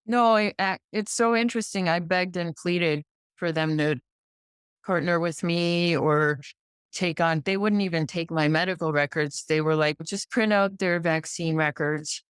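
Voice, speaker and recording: A middle-aged American woman with a fairly low, clean voice recorded very dry. She speaks conversationally as an interview guest. She drives her points home with emphatic repetition and pointed questions. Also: unhurried, clean tone, no echo. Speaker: podcast guest, pediatrician.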